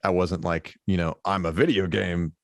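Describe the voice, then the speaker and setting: A man with a baritone voice, chatting as a guest musician on a podcast, rambling with lots of fillers and restarts. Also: steady tone, normal speed, no room sound, clean studio sound. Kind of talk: casual podcast conversation.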